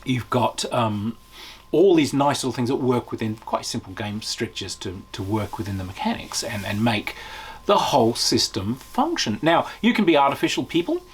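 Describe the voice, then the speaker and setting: A man reviewing a tabletop game in a casual, unscripted way, animated and chatty as he explains. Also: mid-range voice, moderate pace, husky.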